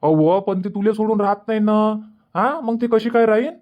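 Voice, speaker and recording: A man's voice: high voice, normal speed, lightly acted. A man voicing a worried, reluctant village father in a cartoon comedy, questioning and protesting with a Vidarbha Marathi accent in a dull recording. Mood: worried objecting.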